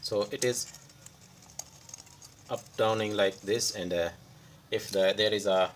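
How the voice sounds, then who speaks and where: Casting: male repair tutor, non-native English speaker, lowish voice, very slow, halting phrasing, unscripted demo style. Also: steady tone, slight background noise. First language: not English. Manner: halting, pausing mid-sentence in short phrases. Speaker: electronics repair tutorial host. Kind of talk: unscripted hands-on repair demonstration.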